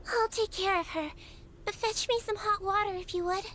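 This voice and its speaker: A woman voicing a small, gentle, non-human nurse girl in a video game, speaking in a calm, measured, earnest way. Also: very high-pitched, noisy background. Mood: calm, caring. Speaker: head nurse and doctor character. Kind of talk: English video game voice acting.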